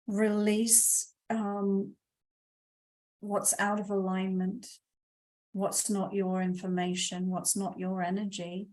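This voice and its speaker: A woman who teaches meditation, a guest on an online talk show, explaining things calmly in steady flowing lists. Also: mid-range voice, rough recording. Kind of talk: casual interview talk.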